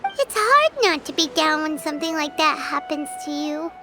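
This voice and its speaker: Squeaky, perky little companion. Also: female voice, sing-song, moderate pace, noisy background. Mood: sympathetic. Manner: perky, eager, childlike. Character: tiny high-voiced chirpy companion, eager, curious, confident. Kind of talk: anime-style video game dialogue.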